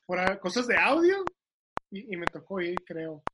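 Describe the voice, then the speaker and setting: A relaxed male host greets his guest in easygoing slang. His voice is notably high for a man. Heavy hiss sits under the video-call sound. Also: unhurried. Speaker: podcast host. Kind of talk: casual video-call interview.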